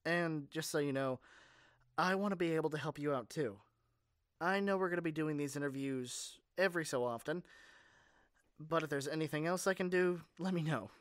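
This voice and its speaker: A man's voice: a little high, normal speed, dry recording, studio quality, lightly acted. A man playing a caring, modest, socially awkward superhero in a conversational audio roleplay, speaking casually and hesitantly. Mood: earnest, sympathetic.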